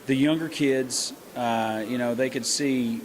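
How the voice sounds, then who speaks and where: A middle-aged man, a country singer who hosts a hunting show, answering interview questions in an earnest, halting way with many restarts. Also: mid-range voice, fairly even intonation, slight background noise, poor audio.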